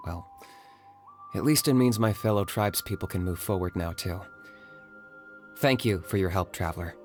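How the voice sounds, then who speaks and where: A man voicing a calm, reserved young warrior who speaks in a measured way. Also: deep voice, faint music behind, natural voice. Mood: earnest. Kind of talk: video game voice acting.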